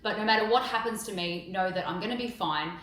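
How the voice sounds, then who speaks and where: A woman's voice: mid-range voice, fast, fairly bright tone, poor audio. A woman speaking outspokenly and with emphasis, answering interview questions and giving a direct update. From Australia. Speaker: campaigner being interviewed.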